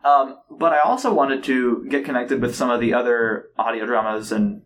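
A young man in casual podcast conversation, telling stories in a chatty, animated way. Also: medium pitch, moderate pace, a little hiss or hum, poor audio. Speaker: podcast host. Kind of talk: casual chat.

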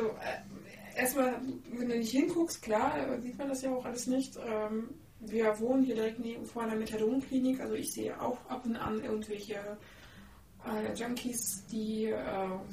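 A woman's voice: fairly high pitch, gravelly, darkish tone. A woman in casual conversation, speaking in a blunt, matter-of-fact way. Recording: roomy, distant mic, faint noise.

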